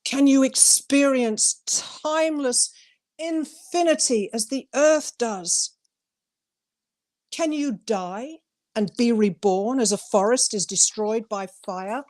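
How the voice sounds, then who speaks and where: Lady poet reading her stuff, voice a bit high, really slow and deliberate. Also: darkish tone. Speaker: guest poet reading from own book. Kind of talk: poetry reading with brief informal introduction.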